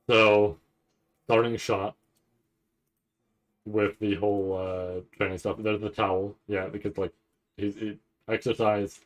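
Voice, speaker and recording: A man with a lowish voice talks through footage in an informal, unscripted way. He thinks aloud as he goes, halting and repeating words while he works out what he is looking at. The delivery is that of a casual streamer's commentary. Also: a little mellow. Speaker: anime reaction streamer. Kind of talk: casual off-the-cuff trailer breakdown commentary.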